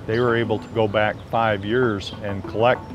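A man's voice: lowish voice, flat delivery, moderate pace, dull audio. A man working as an energy auditor, telling anecdotes in an interview. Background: clear background music.

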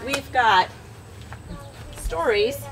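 A woman's voice: high-pitched, lively intonation, extremely slow, very noisy. A woman in her thirties hosting a children's event outdoors, casually welcoming families, picked up from a distance. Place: outdoors under a canopy on a library lawn. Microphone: far camera. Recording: distant voice outdoors among a chattering crowd.

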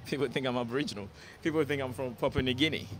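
A man's voice: medium pitch, quick pace. Ghana-born man vlogging on a noisy street. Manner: relaxed, repetitive, drops in "okay" and "yeah" often. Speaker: vlogger live-streaming. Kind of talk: casual live-stream chat, reading viewer comments aloud. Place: sunny city street outdoors. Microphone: phone held at arm's length. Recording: phone recording on a noisy street. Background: street and traffic noise.

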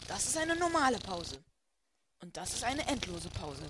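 Male storyteller for comic audio drama, lighter high register, scripted first-person narration, clear background noise. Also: very animated, moderate pace. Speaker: narrator and lead character. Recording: clearly noisy homemade recording.

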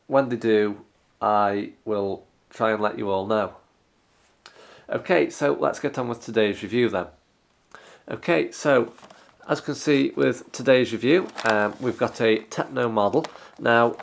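A man's voice: fairly low pitch, vocal fry. A young man with a stuffy, bunged-up voice from a cold, rambling casually with many ums while reviewing a model truck at home. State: congested from a cold. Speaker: model collector and channel host. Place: living room by a fireplace.

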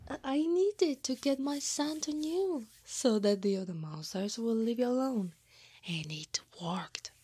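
Soft whispering centaur woman, acted by a woman, teasing. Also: on the high side, lively intonation, unhurried, no echo. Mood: fond, gentle. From Italy. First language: Italian. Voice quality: breathy, often whispering. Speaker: ASMR roleplay performer. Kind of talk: ASMR roleplay.

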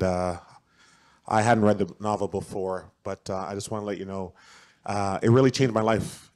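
Brief: male audience member, earnest and grateful, low voice on a hall mic. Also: a little mellow. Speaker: audience member asking a question. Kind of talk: audience question at a public talk. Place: large auditorium. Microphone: handheld audience mic.

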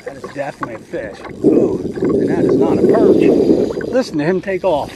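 A middle-aged man fishing from a kayak, talking excitedly to himself as he fights and lands a fish, picked up by a mounted action camera out on the water. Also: a little high, normal speed, highs cut off. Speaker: kayak angler. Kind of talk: live on-the-water commentary. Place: kayak on open water, outdoors, windy. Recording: wind noise on action camera.

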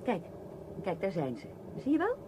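A woman's voice: mid-range voice, wildly swooping pitch, moderate pace. An actress in a Dutch radio play, playing a reflective grown woman who speaks kindly and poetically. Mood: gently engaged. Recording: loud vehicle-like background noise.

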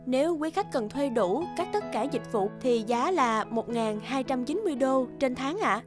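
A courteous female agency receptionist played by an actress in an animated tale. She speaks in a high, brisk, formal service voice with music playing behind her. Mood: polite, businesslike. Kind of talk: animated moral-tale voice acting.

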